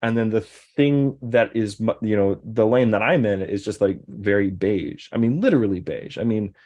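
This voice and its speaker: A man's voice: on the low side, normal speed, darkish tone, muffled recording. Rambling man. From American Deep South. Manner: rambling, many fillers and restarts. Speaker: guest. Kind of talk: casual conversation.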